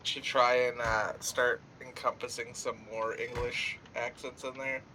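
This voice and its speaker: Animated man in noisy voice chat. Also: mid-range voice, measured pace. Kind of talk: casual voice chat.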